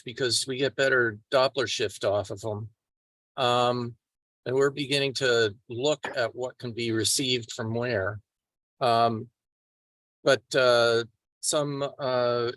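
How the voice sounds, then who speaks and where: A man contributing to a group teleconference in a halting, hesitant way. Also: mid-range voice, a bit bright, no room sound. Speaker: meeting participant. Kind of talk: discussion on an online group call. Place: remote teleconference.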